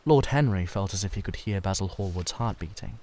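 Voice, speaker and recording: A man's voice: sits low, fairly quick, darkish tone, a little hiss or hum. A man reading scripted narration from a classic novel as an audiobook narrator.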